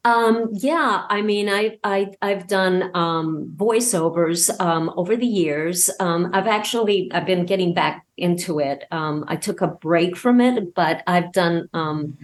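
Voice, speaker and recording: A woman's voice: on the low side, unhurried, hardly any pauses. A woman talking casually as an interview guest, hesitant and wandering as she searches for words. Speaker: podcast guest and voice actor.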